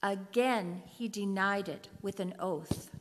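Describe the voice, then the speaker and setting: A woman narrating scripture in a deliberate, clearly phrased way, in a reverberant hall. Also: medium pitch. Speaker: narrator in a church readers' theater.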